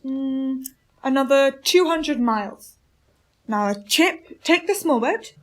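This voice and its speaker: Woman as polar expedition captain, high voice, commanding, measured log-keeping, radio drama. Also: expressive. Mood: stern, commanding. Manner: firm, authoritative. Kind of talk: radio play acting and log narration.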